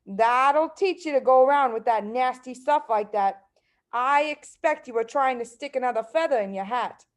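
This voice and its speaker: A woman's voice: high-pitched, normal speed, close to an everyday voice. A woman voicing a scolding, scornful middle-aged wife and mother in a children's story, bossy and dismissive.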